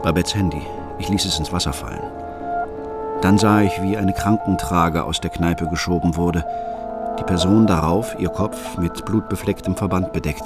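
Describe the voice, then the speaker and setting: Bitter secretive dad in a radio play, really low voice, terse, music behind him. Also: male voice, moderate pace. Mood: brooding, restrained. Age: middle-aged. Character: Paul, brooding middle-aged father, secretive and bitter. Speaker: first-person narrator and lead actor. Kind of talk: radio drama narration and dialogue.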